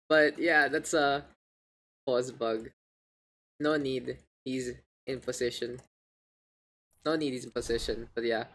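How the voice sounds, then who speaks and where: A man with a gravelly voice hosting an esports livestream, mixing casual slangy chat and game commentary. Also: medium pitch, fairly bright tone. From Philippines. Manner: informal, gamer slang, joking asides. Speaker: esports caster, streamer hosting the match. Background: video game sounds and announcer.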